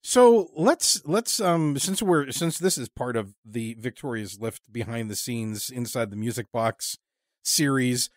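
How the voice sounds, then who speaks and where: A man interviewing someone casually as host, in a halting way that repeats words while he searches for the next. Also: mid-range voice, animated, no room sound, studio quality.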